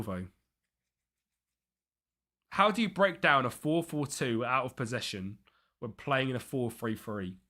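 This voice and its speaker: A young man with a bright, forceful voice, talking live over a game stream. He reads out chat messages and muses about tactics in a chatty, easygoing way. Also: mid-range voice. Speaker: streamer playing a football management game.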